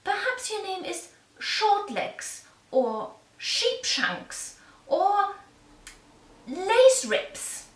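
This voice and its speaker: A woman's voice: very high-pitched, sing-song, extremely slow, voice pushed up, full character voice. A woman voicing an anxious young storybook queen, speaking tentatively and drawing out each guess, in an echoey room. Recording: echoey room, distant microphone.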